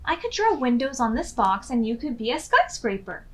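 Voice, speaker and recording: A woman's voice: fairly high pitch, sing-song, normal speed, fairly bright tone, pitched above the performer's own voice. A woman voicing a fretful, bossy young male storybook character in an emphatic, dramatic way. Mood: urgent, persuasive.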